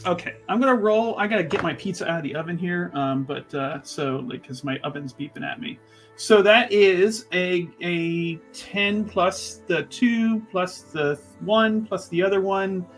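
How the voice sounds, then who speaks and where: A man with a fairly high voice takes part in a tabletop roleplaying game. His talk is casual and conversational, with faint music playing behind it. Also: a little slow.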